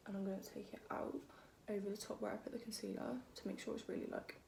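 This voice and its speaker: A young woman with a croaky voice, chatting casually through a makeup tutorial and making fun of herself as she works. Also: medium pitch, flat delivery, quick pace, dark tone. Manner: chatty, self-deprecating, talks while working. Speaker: beauty vlogger. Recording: echoey room with faint noise.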